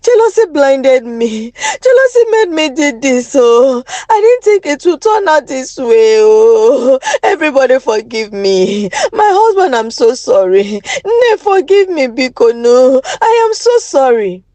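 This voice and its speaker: A woman's voice: high-pitched, sing-song, a little slow, few pauses, warm tone, dry recording. A Nigerian-accented woman voicing a jealous, fiery village wife in a folktale, wailing and exclaiming. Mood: wailing remorse.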